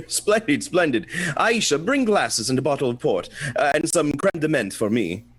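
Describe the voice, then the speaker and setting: A man's voice: on the high side, big pitch swings, moderate pace, fairly bright tone, husky, heavily acted. A man playing a polite, evasive English expatriate in a comic radio play, hesitant and theatrical. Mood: pleased, genteel.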